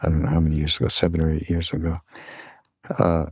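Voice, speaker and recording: An older man telling reflective stories as a podcast host, haltingly, searching for his words. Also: extremely low pitch, completely flat delivery, husky, no room sound, studio quality. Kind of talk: reflective conversational storytelling.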